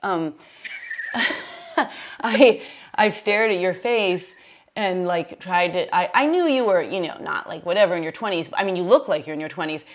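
A woman's voice: lowish voice, normal speed. A woman in her late forties hosting a podcast, chatting casually, self-deprecating, often laughing and trailing off mid-sentence. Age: 40s. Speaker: podcast host. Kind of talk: casual chat.

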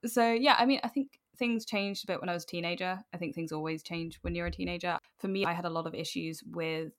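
British woman late 20s, talks really fast, lowish voice, chatty candid podcast guest. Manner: chatty and informal, often quoting remembered remarks in dialogue. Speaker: podcast guest, cosplayer and writer. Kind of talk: casual, candid personal interview chat.

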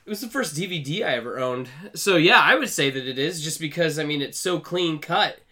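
A man's voice: a little high, animated, fairly quick, sharp tone. A man co-hosting a podcast in casual chat, hedging as he goes with plenty of "like" and "I mean". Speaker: podcast co-host.

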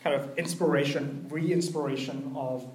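A young man giving a conversational sermon, earnest and personal, with a gently hesitant delivery. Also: mid-range voice, steady tone, normal speed, echoey room, low-quality recording. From New Zealand and Malaysia. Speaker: preacher.

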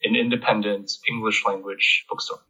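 An American man giving a reflective interview, halting as he searches for words. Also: on the low side, steady tone, sharp tone, breathy edge. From United States. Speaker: interviewee, independent bookstore owner. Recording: slightly muffled, music behind.

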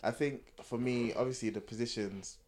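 A man's voice: mid-range voice, steady tone, normal speed, a little mellow. A young man chatting casually and candidly as a podcast co-host, repeating phrases as he explains. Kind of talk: casual, candid group chat.

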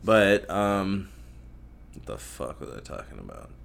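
A man's voice: deep voice, sing-song, unhurried, creaky, a bit bright. A young man hosting a solo podcast, rambling in a crude, profane and self-mocking way that often loses its thread. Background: faint noise from outside.

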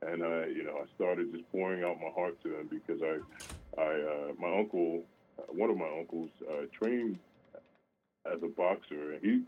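A man, an opera singer, answering questions in a radio interview, halting with many fillers and restarts. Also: sits low, slight background noise. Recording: phone-line sound.

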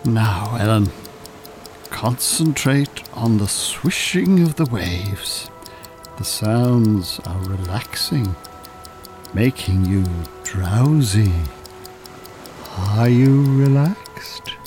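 An actor playing a smooth, calculating Irish con-artist hypnotist in an audio drama, soothing and commanding in a deliberate way. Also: male voice, fairly low pitch, music in the background.

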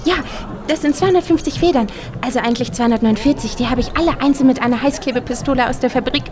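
A woman's voice: sits high, very fast, music in the background, lightly acted. An actress playing an eager, lovestruck young provincial woman who is awkward, chatty and confiding in a drama. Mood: shy, hopeful. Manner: gushing, hesitant, confiding. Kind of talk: acted German drama dialogue.

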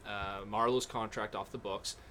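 A man's voice: fairly low pitch, fairly even intonation, normal speed, sharp tone, slight background noise. Easygoing man talking hockey. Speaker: podcast co-host. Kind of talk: casual hockey chat.

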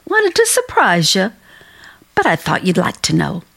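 A woman's voice: on the low side, huge pitch swings, a little slow, gravelly, fairly bright tone, breathy edge, heavily acted. Woman acting a lilting, fussy folksy landlady. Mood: solicitous. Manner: folksy, ungrammatical, motherly fussing. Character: fussy, solicitous working-class landlady, chatty and folksy. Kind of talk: radio theater acting.

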